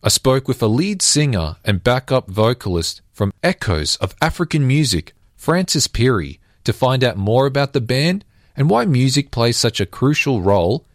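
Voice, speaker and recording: A man's voice: medium pitch, big pitch swings, normal speed, dry recording, clean studio sound. A man working as a reporter, reading a scripted intro and asking loose, casual interview questions. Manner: reads intro evenly, asks loosely with fillers.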